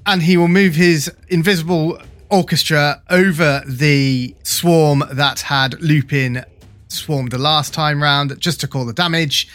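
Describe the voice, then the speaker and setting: A man with a slightly high voice plays a tabletop game. He narrates his character's actions casually at a slow pace, with soft music behind him. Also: steady tone. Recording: music playing under the voice.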